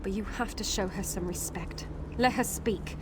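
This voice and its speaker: A woman's voice: mid-range voice, normal speed, noisy background, close to an everyday voice. A woman playing a proud, hostile wood elf warrior in a video game, blunt and guarded. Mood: reluctant advising. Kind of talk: video game character dialogue.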